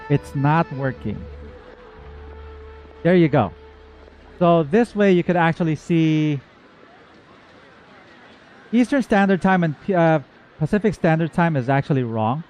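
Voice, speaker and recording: A man's voice: on the high side, lively intonation, a little slow, long pauses, a little mellow. A man hosting a livestream, chatting casually to viewers in a rambling, informal, self-correcting way. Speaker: livestream host. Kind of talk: casual chat to viewers, game reaction. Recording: dull, muffled audio with faint music.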